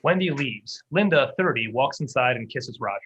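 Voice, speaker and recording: A man's voice: medium pitch, expressive, normal speed, fairly bright tone, breathy edge. A man reading stage directions as scripted narration for a table read, on a muffled, lo-fi microphone.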